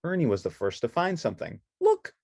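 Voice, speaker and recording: A woman with a low, husky voice narrates a children's read-along storybook. The recording is close and dry. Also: very animated, brisk, a little mellow, no room sound.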